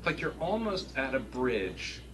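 A man speaking as a review board member, giving halting, hedged critique as he thinks aloud at a meeting. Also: mid-range voice, very animated, a crowd in the background. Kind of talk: spontaneous critique at a public meeting. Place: small meeting room, indoors. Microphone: distant room camera. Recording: noisy meeting-room recording.

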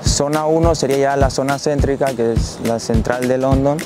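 A young man from Paraguay, speaking at a mid pitch in a measured, explanatory way. He is recorded outdoors on a lapel mic, with music audible behind him. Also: fairly even intonation. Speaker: interviewee.

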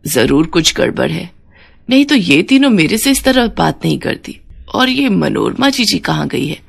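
A woman voicing a caring, sensible elderly mother-in-law in a cartoon story, speaking in a measured way. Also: on the low side, big pitch swings, gravelly, slightly rough, full character voice. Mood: puzzled.

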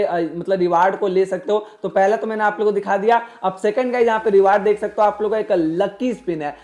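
A man's voice: high-pitched, a little mellow. A man with an Indian accent giving an informal tutorial voice-over as a gaming creator, repeatedly addressing his viewers. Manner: repetitive, constantly addressing viewers as guys.

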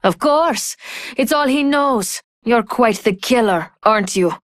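A woman's voice: a little high, animated, unhurried, no echo, studio quality. A woman voicing a sultry, vengeful thief in a video game, cool, cutting and sardonic. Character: sultry, scornful, vengeful female thief, adult. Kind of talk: video game character voice lines.

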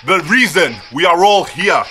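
A man plays a theatrical, stern science lecturer in a radio drama. His voice is fairly high and swoops widely as he declaims slowly, with music clearly behind him. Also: expressive, pushed and bright. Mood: grandly lecturing. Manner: declamatory, deliberate, rhetorical pauses. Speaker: actor. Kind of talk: radio play acting, lecturing.

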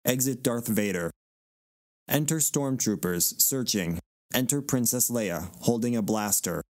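A man narrates stage directions for an audio play. His medium-pitched voice is recorded dry, with no room around it. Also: animated, measured pace, darkish tone, dry recording.

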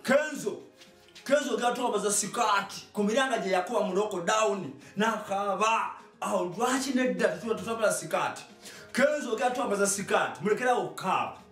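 A middle-aged man with a Ugandan accent, delivering an animated comic monologue to camera as a show host, often repeating phrases for emphasis. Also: sits high, quick pace. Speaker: online comedy show host. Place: small indoor studio with hard walls. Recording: echoey room, distant microphone, music.

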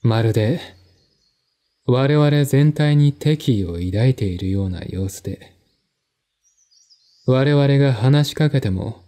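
A male narrator reads literary prose slowly and formally. His voice is mellow and mid-pitched, with deliberate phrasing and a dramatic storytelling delivery. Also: animated. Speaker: narrator, solo audiobook reader.

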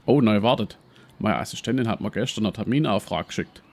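An actor playing a brash, self-important professor and treasure hunter with a Swabian accent in a parody detective radio play. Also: male voice, fairly low pitch, fairly quick, vocal fry. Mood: explaining.

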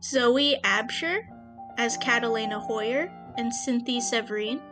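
A woman's voice: fairly high pitch, a little slow, music in the background. A young woman co-hosting a podcast in casual banter, playful and given to mock-dramatic jokes. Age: young adult. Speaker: podcast co-creator and host. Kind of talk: casual banter and thank-you message.

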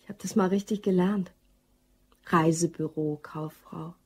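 Casting: down-to-earth secretary in a radio play, slightly husky, natural delivery. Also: female voice, lowish voice, steady tone, measured pace. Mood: confiding. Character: patient, practical female secretary dreaming of her own business. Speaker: actress in a crime audio drama. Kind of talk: German radio play dialogue.

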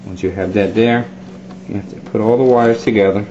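A man's voice: fairly low pitch, steady tone, brisk, warm tone. A man methodically instructing viewers step by step in a hands-on DIY wiring demonstration. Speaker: plumbing instructor. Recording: dull lo-fi sound with faint noise.

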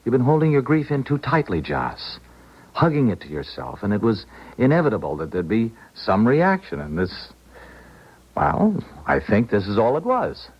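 An actor playing a hard-headed ex-police officer who is a loyal friend, measured and reasoning, in 1970s radio drama style. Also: male voice, fairly low pitch, huge pitch swings, breathy edge, heavily acted. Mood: reassuring. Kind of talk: 1970s radio drama acting and first-person narration. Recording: dull 1970s radio recording.

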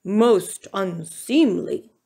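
Woman putting on a pompous, slow-spoken storybook bear. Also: a little high, very animated, heavily acted. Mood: stern, formal. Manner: pompous, declamatory, formal wording. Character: gruff, stern, pompous male bear, grumbling and formal. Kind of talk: children's storybook character reading.

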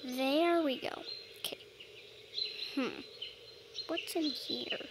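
High-pitched, slow-talking female gamer. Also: noisy background. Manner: playful, unhurried musing. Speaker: gamer role-playing as a dragon. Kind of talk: casual gameplay narration with playful role-play. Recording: game music behind the voice.

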